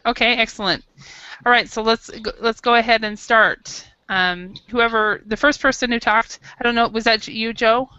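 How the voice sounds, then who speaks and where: A woman's voice: on the high side, fairly even intonation, moderate pace, sharp tone, smooth, dry recording, lo-fi sound. A woman teaching a small talk lesson to an adult class, thinking aloud with frequent restarts. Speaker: English teacher.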